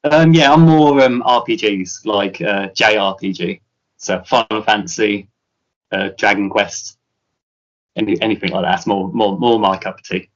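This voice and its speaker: A man's voice: on the low side, normal speed, lo-fi sound. A British man, a musician guest, chatting casually in an interview, rambling with many fillers and restarts.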